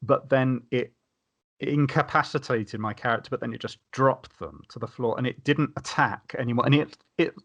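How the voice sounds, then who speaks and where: Mid-pitched man chatting on a podcast. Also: moderate pace, a bit bright. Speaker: podcast panelist. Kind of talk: casual podcast chat.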